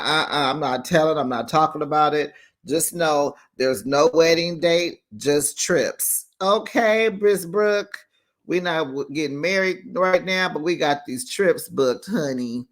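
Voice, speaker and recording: Chatty man recapping a reality show. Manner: chatty, acts out quotes with raised pitch, laughs often. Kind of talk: casual recap commentary.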